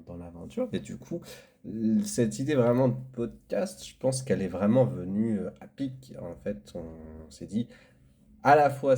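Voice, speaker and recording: Man, casual co-host: deep voice, warm tone, easygoing and agreeable. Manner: relaxed, agreeing, loose with fillers. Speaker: podcast co-host. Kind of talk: casual chat.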